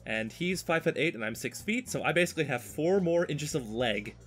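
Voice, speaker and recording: A man's voice: fairly high pitch, animated, brisk, a bit bright, faint music behind. A man chatting casually and talkatively on a podcast while he draws, with a joking, exasperated manner. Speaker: podcast host.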